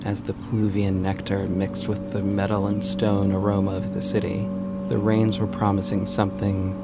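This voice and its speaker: A man narrates an audio drama in a low voice with plenty of creak. His storytelling is scripted, measured and deliberate, with music clearly underneath. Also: monotone.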